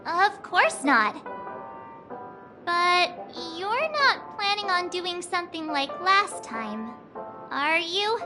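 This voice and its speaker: Very high, lively bubbly girl. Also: takes it slowly, over music, exaggerated voice. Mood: casual, teasing. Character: young bubbly girl, cheerful and eager companion. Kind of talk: video game voice acting.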